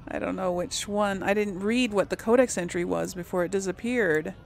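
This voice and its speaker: A woman's voice: medium pitch, normal speed, vocal fry, slight background noise. A woman hosting a casual game playthrough, chatty and hesitant as she thinks aloud. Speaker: gaming video host. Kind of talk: casual let's play commentary.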